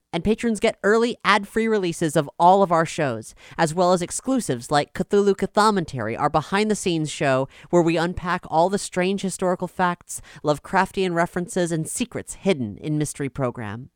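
Smooth, low-voiced woman podcast host. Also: no room sound, studio quality. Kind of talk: scripted podcast announcement.

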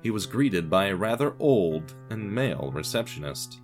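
Low-voiced male narrator, lively intonation. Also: normal speed, faint music behind. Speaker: narrator and creator of an audio drama podcast. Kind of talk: scripted story narration.

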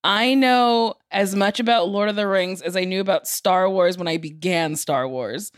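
A woman's voice: mid-range voice, moderate pace, no room sound, studio quality. A woman with an American accent co-hosting a podcast in casual, slangy conversation. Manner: informal, slangy. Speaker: podcast co-host.

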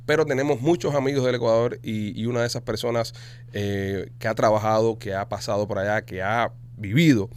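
A man with a Cuban Spanish accent hosting a podcast, chatting casually and reading sponsor ads in a quick, salesy way. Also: lowish voice, fairly even intonation, smooth. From Cuba. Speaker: podcast host. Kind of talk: casual podcast talk with ad reads.

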